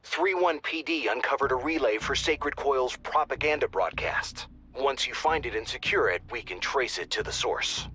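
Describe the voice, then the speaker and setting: Bright-voiced mission handler. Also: male voice, medium pitch, animated, normal speed, slight background noise. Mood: alert. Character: male mission handler, alert, businesslike, wry.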